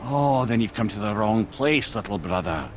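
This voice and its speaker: An actor playing a wise, witty and boastful captive sage in a video game, speaking in a wry, theatrical way. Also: male voice, lowish voice, animated, moderate pace, slight background noise, close to an everyday voice. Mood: wry, explaining. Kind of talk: dramatic game acting.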